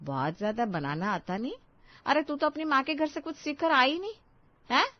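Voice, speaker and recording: A woman's voice: sits high, animated, moderate pace, no room sound, lo-fi sound, voice pushed up. A woman voicing a domineering middle-aged Indian mother-in-law, exasperated and dramatic in a family story. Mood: scornful.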